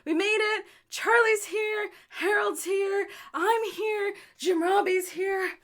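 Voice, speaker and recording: A woman's voice: extremely high pitch, fairly even intonation, takes it slowly, fairly bright tone, full character voice. A woman playing a frantic but upbeat young adventurer in an audio drama, flustered and reassuring. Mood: rattled.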